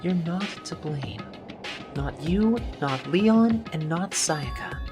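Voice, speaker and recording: A woman playing an earnest, gentle teenage schoolboy in an anime fandub. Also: on the low side, lively intonation, slow pace, far from the mic. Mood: earnest, consoling. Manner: earnest, consoling. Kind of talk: anime game fandub acting. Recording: music under the voice.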